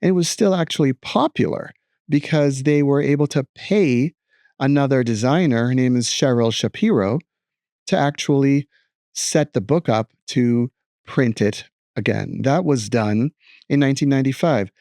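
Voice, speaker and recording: A middle-aged man reading a picture book aloud as a teacher, adding expressive commentary with deliberate emphasis and wry asides. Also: mid-range voice, dry recording, clean studio sound.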